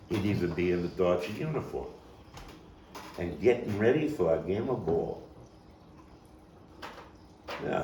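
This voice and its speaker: A man performing a theatrical stage monologue as a streetwise, nostalgic Brooklyn baseball fan, telling it with deliberate pauses and big swings in volume. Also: lowish voice, full character voice. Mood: fond, earnest. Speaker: actor and playwright performing his own monologue. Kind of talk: dramatic stage monologue at a table read. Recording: roomy, distant mic with faint noise.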